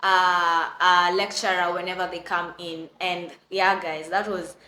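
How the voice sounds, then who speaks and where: A young Kenyan woman with a Kenyan accent telling an animated storytime, exclaiming and acting out what others said. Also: on the low side, a little slow, reverberant. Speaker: vlogger. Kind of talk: casual storytime.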